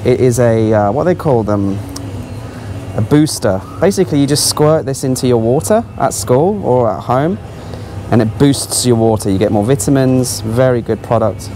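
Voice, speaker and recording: A man's voice: medium pitch, lively intonation, moderate pace, warm tone, slight background noise. A man giving casual, offhand, exclaiming commentary as a travel vlogger walking around a supermarket. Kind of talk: casual running vlog commentary. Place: large supermarket aisles.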